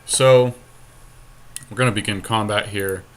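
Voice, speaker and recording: A man's voice: on the low side, fairly even intonation, a little slow. A man co-hosting a podcast, giving casual, joking and sometimes sweary commentary as he plays a card game. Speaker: podcast co-host playing an online card game.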